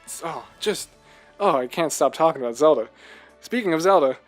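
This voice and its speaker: Higher-voiced enthusiastic man, rambling casually. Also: expressive, fairly quick, soft background music. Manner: enthusiastic, gushing; rambling, jumps between thoughts. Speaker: podcast host. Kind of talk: casual video game discussion.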